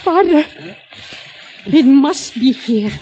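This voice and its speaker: A woman's voice: sits high, expressive, slow, dark tone, a little hiss or hum. Bold foreign fugitive woman, muffled old radio. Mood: urgent, commanding. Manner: commanding, terse, formal stilted phrasing. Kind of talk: radio drama acting. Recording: muffled old radio recording, music behind.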